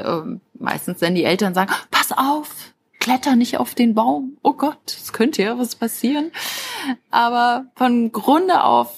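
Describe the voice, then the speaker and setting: A woman's voice: mid-range voice, vocal fry, husky. A woman giving an informal motivational talk as a life coach, speaking directly and encouragingly to the listener. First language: German.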